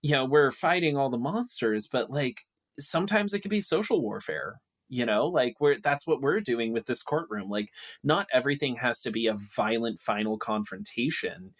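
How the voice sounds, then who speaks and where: A man's voice: mid-range voice, lively intonation, moderate pace, fairly bright tone. A man speaking as a podcast guest in casual discussion, emphatic and explanatory.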